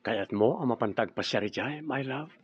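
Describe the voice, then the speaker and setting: An actor playing a devoted, excitable husband in a radio drama, with an expressive manner. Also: male voice, medium pitch, normal speed, natural voice. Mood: tender, surprised. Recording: dull lo-fi radio recording.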